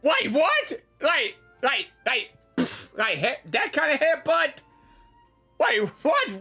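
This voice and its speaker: A woman streamer with a husky voice giving casual, excitable, halting reaction commentary on a game, often repeating words. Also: high voice, soft background music.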